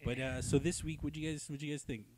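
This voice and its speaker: A man's voice: a little high, steady tone, quick pace, background noise. A man co-hosting a casual comic book review chat, joking around in slangy, sometimes profane talk.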